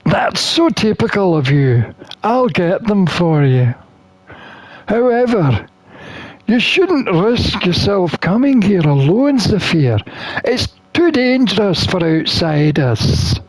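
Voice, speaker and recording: Someone plays a flustered but protective satyr in a visual novel. The delivery is slow and full of hesitant stammers, with widely swinging pitch. The sound is dry and close. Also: big pitch swings, no room sound, low-quality recording. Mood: protective, chiding. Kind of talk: acted visual novel dialogue reading.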